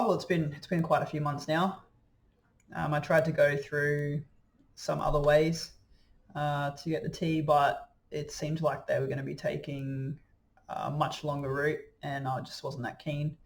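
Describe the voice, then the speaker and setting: A man in his late twenties recording a casual video diary. His voice is gravelly, fairly high for a man, and stays on an even, flat line. He sounds easygoing and a little hesitant, thinking aloud as he goes. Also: moderate pace. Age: late 20s. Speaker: vlogger.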